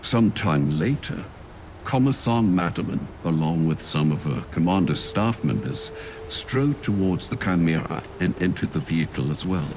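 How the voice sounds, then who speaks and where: A man's voice: sits low, expressive, normal speed, slight background noise. A man narrating a scripted war audio drama in a dramatic storytelling style. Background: loud music with battle effects.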